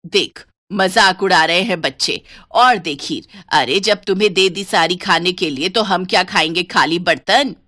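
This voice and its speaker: A woman's voice: on the low side, measured pace, few pauses, sharp tone, no echo, clean studio sound. A woman voicing a greedy, grumpy old village grandmother who scolds and complains. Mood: grumbling, irritated.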